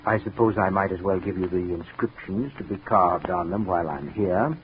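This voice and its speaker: An actor playing a fussy, cold, precise brother in a 1940s radio drama, formal and controlled. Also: male voice, sits low, moderate pace, muffled recording, lightly acted. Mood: formal, measured. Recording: thin, noisy 1940s radio recording.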